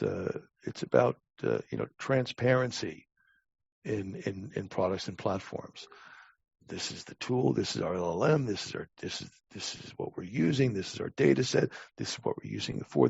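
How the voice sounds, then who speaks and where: Older man with heavy gravelly creak. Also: fairly low pitch, very animated, a little mellow, no room sound. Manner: emphatic, halting with repeated words, blunt. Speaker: podcast guest, talent acquisition advisor. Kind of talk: conversational interview answers. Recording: dull, muffled lo-fi audio.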